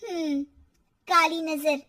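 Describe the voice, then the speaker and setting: A woman acts out an anxious young wife from a storybook drama. Her voice is very high, emotive and complaining, with a quick temper. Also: fairly even intonation, pushed and bright, exaggerated voice. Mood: suspicious.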